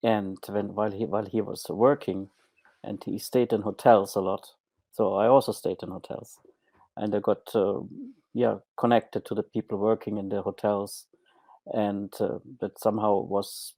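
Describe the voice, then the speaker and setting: A man's voice: lowish voice, level tone, moderate pace, vocal fry, warm tone, no echo. A middle-aged German man with a German accent, a podcast guest speaking hesitantly with many ums and restarts. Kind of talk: podcast interview.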